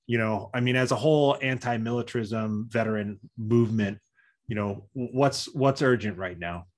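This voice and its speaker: A man moderating an online panel, friendly and halting, with many fillers as he hands over to the next speaker. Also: medium pitch.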